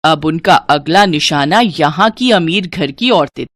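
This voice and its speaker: Animated woman storyteller. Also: deep voice, a little slow, no room sound. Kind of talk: scripted story narration.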